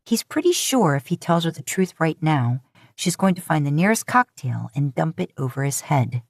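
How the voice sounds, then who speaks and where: A woman's voice: low voice, very animated, moderate pace, no echo, clean studio sound. A woman reading scripted fiction as an audiobook narrator.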